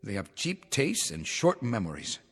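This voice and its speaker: An actor playing a paranoid, grandiose revolutionary leader in a mid-century television drama. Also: male voice, on the low side, wildly swooping pitch, moderate pace, fairly bright tone, husky, heavily acted. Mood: scornful. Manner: grandiose, theatrical, commanding. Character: paranoid, imperious male revolutionary leader turned ruler. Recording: old TV soundtrack, reverberant, music behind.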